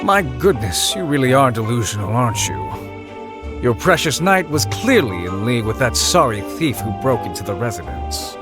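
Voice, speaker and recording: A man's voice: mid-range voice, very animated, moderate pace, close to an everyday voice. A man voicing a shrewd, scheming adviser in a video game, with dry, sardonic, articulate delivery. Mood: exasperated, mocking. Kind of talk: video game villain acting. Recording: background music under the voice.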